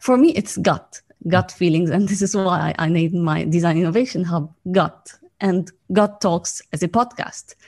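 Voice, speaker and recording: Lowish-voiced woman hosting an interview. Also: moderate pace, few pauses, a little mellow. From Lebanon. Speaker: podcast host, interviewer. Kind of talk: conversational interview.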